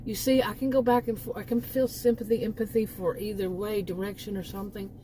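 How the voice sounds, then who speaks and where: A woman's voice: mid-range voice, little pitch movement, brisk, dark tone, slight background noise, poor audio. Rambling Texan woman talking casually. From Texas. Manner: rambling, many restarts and asides. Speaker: channel host. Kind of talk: casual monologue.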